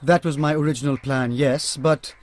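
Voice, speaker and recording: A man acting as a shrewd detective in a radio play, with an Indian accent and a moderately high voice. He is courteous and composed, choosing words with dry, measured care. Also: fairly even intonation, natural voice. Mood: guarded, dry.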